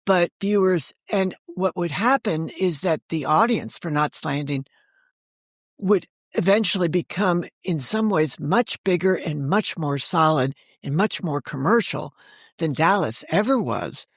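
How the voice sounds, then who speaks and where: An older woman with a fairly low voice, recorded dry. She explains things in a conversational, storytelling way as an interview guest, often correcting herself mid-sentence. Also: lively intonation, a little slow, breathy edge, no room sound. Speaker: guest, author and editor.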